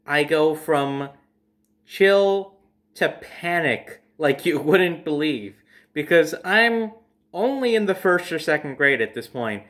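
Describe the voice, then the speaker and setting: Young male storyteller, fairly high pitch, casual and emphatic, dramatic delivery of personal anecdotes. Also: a bit bright. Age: young adult. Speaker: storyteller host.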